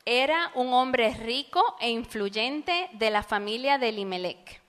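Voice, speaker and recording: A woman interpreting a sermon phrase by phrase, quick and fluid, in a church. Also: on the high side, expressive, fairly bright tone, clear, resonant tone, in a large hall. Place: church sanctuary.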